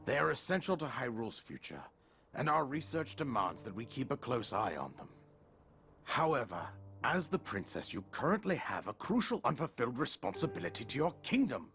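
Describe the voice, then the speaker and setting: A man's voice: mid-range voice, sing-song, fairly quick, a bit bright. Stern, commanding king with sweeping intonation. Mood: stern, scolding. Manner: formal, authoritative, scolding. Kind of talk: dramatic video game cutscene acting. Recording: music behind the voice.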